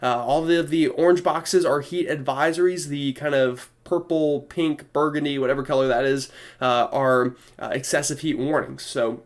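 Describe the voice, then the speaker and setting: A man's voice: on the high side, fairly even intonation, moderate pace. A young man with a cold, sounding congested, giving a casual weather forecast with lots of fillers. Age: young adult. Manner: chatty, many fillers.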